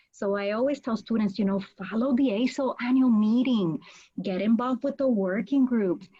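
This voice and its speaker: A woman from Puerto Rico whose first language is not English, speaking earnestly as a guest on a video call from a home office. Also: mid-range voice. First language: Spanish. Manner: earnest, animated, gestures a lot with her hands while talking. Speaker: law school dean, guest. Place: home office with bookshelves, indoors. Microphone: video call, webcam. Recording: dull lo-fi webcam audio.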